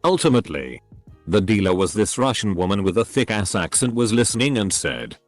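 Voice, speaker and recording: Low-voiced man narrating online stories over music. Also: sing-song, brisk, dry recording.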